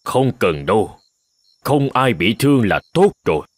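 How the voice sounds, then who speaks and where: A man playing a calm, forgiving elderly temple master in a cartoon, measured and gently instructive. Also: fairly low pitch, no room sound. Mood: calm, caring. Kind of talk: cartoon voice acting.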